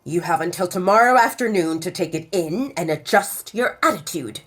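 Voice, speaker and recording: A woman's voice: lowish voice, lively intonation, fairly bright tone. A woman playing a stern, commanding alpha father. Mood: cold. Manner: cold, commanding, slow. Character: stern, scheming male werewolf pack leader, a father.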